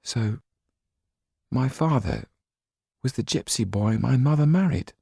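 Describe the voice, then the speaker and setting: Man voicing an eager, breathy teenage boy. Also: medium pitch, lively intonation, measured pace, dark tone, dry recording, clean studio sound, pitched above the performer's own voice, heavily acted. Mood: earnest wondering. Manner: urgent, earnest questioning. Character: eager, questioning teenage boy, insistent and wondering. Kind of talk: audiobook character reading.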